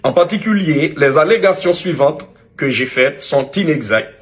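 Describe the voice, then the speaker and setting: Haitian-accented man, earnest and halting. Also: on the high side, lively intonation. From Haitian community, Canada. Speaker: online media commentator. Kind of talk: public apology video, partly read from a text.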